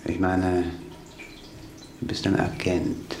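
An actor playing a stern, blunt young socialist leader who talks in commanding, oratorical phrases in a radio drama. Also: male voice, low voice, completely flat delivery, slow, darkish tone. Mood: cold, suspicious. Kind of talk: stage-like radio drama acting. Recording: roomy echo, faint background noise.

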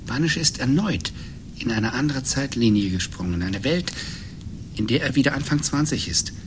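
A man playing a thoughtful, calmly defiant writer in a German radio play, with a reflective, measured manner. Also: medium pitch, noisy background, natural voice. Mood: grave realisation.

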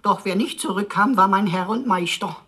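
A woman's voice: medium pitch, moderate pace, a bit bright, natural voice. A woman playing a chatty, cheeky robot sidekick in a radio play, talking in florid, mock-pompous wordplay. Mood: theatrical, cheeky. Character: quirky, chatty, cheeky robot sidekick computer with flowery speech. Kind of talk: German sci-fi radio play acting.

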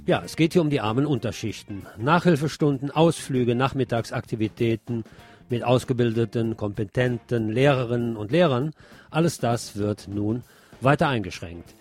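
A man presenting scripted radio news commentary, reading deliberately and emphatically with wry asides. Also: medium pitch, a little mellow, soft background music.

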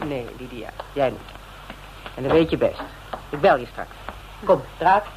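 An actor playing a police inspector's wry, familiar companion in a radio drama, talking informally and teasingly. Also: male voice, medium pitch, lively intonation, unhurried, background noise, lightly acted. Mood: familiar, caring. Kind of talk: Dutch radio drama acting.

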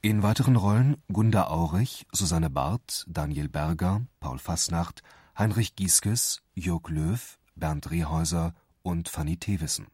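A man reads credits as an announcer. His voice is very low and husky, delivered slowly and deliberately in a dry studio sound. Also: darkish tone, no room sound. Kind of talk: scripted cast credits announcement.

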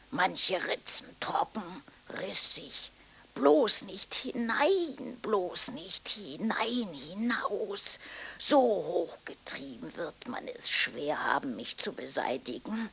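An actress playing a heavy, morose voice from a drawer in a radio play, breathy and cracked, in halting half-whispered fragments. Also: female voice, low-pitched, a bit bright, full character voice. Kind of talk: 1990s German radio play monologue.

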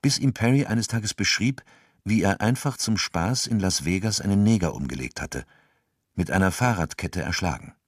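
A man's voice: low voice, expressive, moderate pace, no echo, studio quality. A man narrating a crime radio drama from a script as its storyteller.

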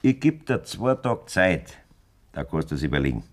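A domineering aging farmer with a thick Bavarian accent, scheming and blunt. His voice sits low but moves a lot, rising and falling as he lays out his terms. It is a radio-play performance. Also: moderate pace. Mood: cold-blooded. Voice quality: blunt, self-assured and lively in tone.

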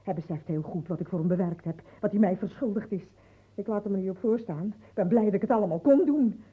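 An actress playing an insistent, accusing wife in a radio play, speaking in an urgent, repetitive way. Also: female voice, mid-range voice, fast talker, husky, lightly acted. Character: insistent, accusing wife waiting obsessively for news. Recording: muffled old radio play recording.

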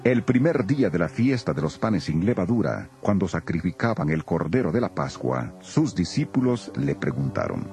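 Deep, gravelly, expressive male narrator. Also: normal speed, hardly any pauses, soft background music. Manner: solemn storytelling. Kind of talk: scripted dramatized scripture narration.

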